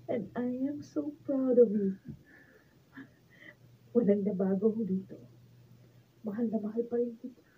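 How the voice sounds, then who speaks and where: A woman's voice: on the high side, a little slow, long pauses, lightly acted. An actress playing a devoted, emotional young woman in love in a Filipino radio drama. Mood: proud, loving. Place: radio drama recording studio, behind-the-scenes video. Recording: phone-like lo-fi sound, faint noise.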